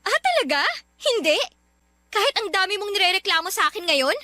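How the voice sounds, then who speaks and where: An actress playing an irritated, dismissive college student in a radio love drama, curt and exasperated. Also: female voice, very high-pitched, sing-song, fairly quick, bright, forceful tone, exaggerated voice. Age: young adult. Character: irritated, dismissive young female college student rejecting suitor.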